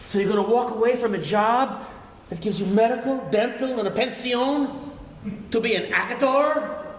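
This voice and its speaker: A man's voice: very high-pitched, moderate pace. A man acting an exasperated working-class father scolding his grown son in a live stage play. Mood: outraged. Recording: echoey noisy theatre hall recording.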